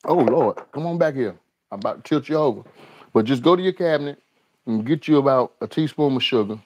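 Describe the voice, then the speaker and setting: A man's voice: mid-range voice, animated, fairly quick, darkish tone, dry recording. A man hosting a live cooking stream from his kitchen, chatting with viewers as y'all and repeating his lines. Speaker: cooking show host. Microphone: overhead camera on the skillet, speaker unseen.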